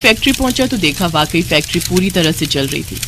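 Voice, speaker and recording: A woman's voice: lowish voice, animated, a little fast, noisy background. A woman narrating a scripted moral story as a storyteller.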